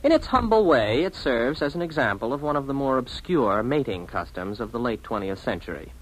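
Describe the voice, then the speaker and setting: A man's voice: mid-range voice, very animated, fairly quick, slight background noise, close to an everyday voice, poor audio. An actor with an American accent playing a wry, mock-formal businessman narrating his own story in a 1950s radio drama. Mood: wry, self-aware.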